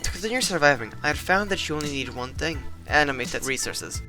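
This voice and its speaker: A young man narrating his gaming footage in a deadpan, mock-epic style, swearing casually. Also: fairly high pitch, brisk, over music. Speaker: gaming vlogger. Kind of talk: comedic scripted narration over gameplay.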